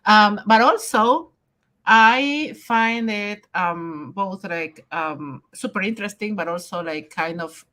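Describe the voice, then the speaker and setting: A woman's voice: mid-range voice, slow, bright, forceful tone. A woman hosting a podcast, thinking aloud with many hesitations and restarts. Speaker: podcast host. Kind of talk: conversational podcast talk.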